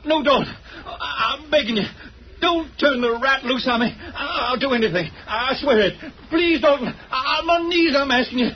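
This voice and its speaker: A man's voice: extremely high pitch, normal speed. An actor playing a cringing, cowardly outlaw who stammers and whines as he pleads, in an old radio western. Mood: desperate begging. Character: cowardly, cringing male outlaw begging for his life. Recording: dull, noisy old radio recording.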